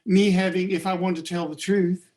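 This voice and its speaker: Higher-voiced man with a South African accent, workshop leader, halting and hesitant delivery. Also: flat delivery, a little mellow. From Canada. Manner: halting, hesitant, many fillers and restarts. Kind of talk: personal account in group discussion.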